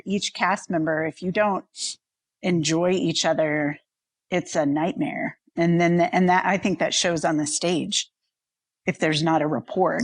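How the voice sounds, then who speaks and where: A woman's voice: fairly low pitch, dry recording. A woman chatting casually as a podcast co-host. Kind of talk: casual chat.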